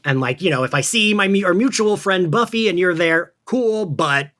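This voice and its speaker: A man's voice: sits high, animated, normal speed, a bit bright. Chatty male podcast host. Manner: chatty, rambling, peppered with slang and swearing. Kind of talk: casual pop-culture recap chat.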